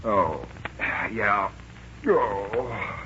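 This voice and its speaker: A man's voice: on the low side, expressive, very slow, bright, forceful tone, exaggerated voice. An actor playing an exasperated young male ship passenger in 1940s radio style, stammering and blustering his way along. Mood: groggy, evasive. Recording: muffled, hissy old radio recording.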